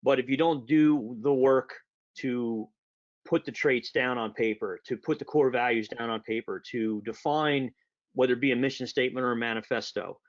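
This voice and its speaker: A man hosting a podcast interview, agreeing warmly with his guest in casual, affirming talk. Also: mid-range voice, fairly even intonation, normal speed, muffled recording. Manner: affirming, with a few fillers and restarts. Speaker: podcast host.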